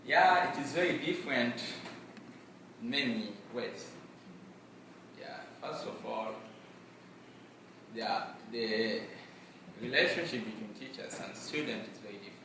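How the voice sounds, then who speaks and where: Soft-spoken young man, Mashi native. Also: medium pitch, noisy background. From Democratic Republic of Congo. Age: young adult. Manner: earnest, measured, with frequent fillers. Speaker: student presenter. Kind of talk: personal testimony and Q&A. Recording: echoey room, far mic.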